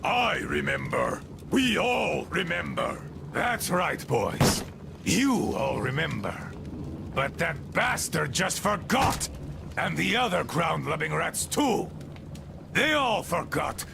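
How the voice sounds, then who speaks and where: An actor playing a bitter, deranged old admiral in a video game, rambling scornfully with a gravelly, creaking voice. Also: male voice, fairly high pitch, sing-song, takes it slowly, background noise, full character voice. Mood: agitated, indignant. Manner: rambling, scornful, swinging from muttering to shouting. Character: bitter, deranged old admiral holding court over tea. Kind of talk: dramatic video game acting.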